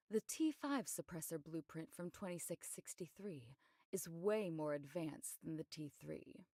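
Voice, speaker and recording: A voice actress playing a composed, discreet female investigator in an anime game, measured and matter-of-fact as she explains. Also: fairly low pitch, a little mellow, dry recording, studio quality. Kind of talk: scripted anime game voice acting.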